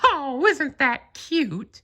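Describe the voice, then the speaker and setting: A woman voicing an excitable, gushing male cartoon mouse in a squeaky falsetto for a children's storybook. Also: drawn-out words, heavily acted. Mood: delighted. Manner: gushing, exclaiming.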